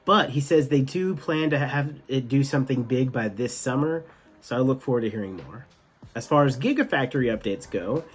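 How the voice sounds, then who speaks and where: A man hosting a tech news show, giving enthusiastic, partly scripted commentary with some casual asides. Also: medium pitch.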